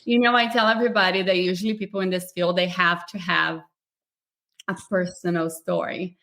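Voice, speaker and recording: A woman's voice: fairly low pitch, little pitch movement, fairly quick, a bit bright. Upbeat woman hosting a podcast. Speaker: podcast host. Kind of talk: live podcast interview.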